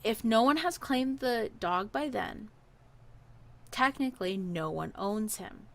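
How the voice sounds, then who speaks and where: A woman's voice: fairly high pitch, takes it slowly. A woman telling a personal story in a casual podcast style, animatedly acting out what people said. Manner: animated, acts out quoted dialogue.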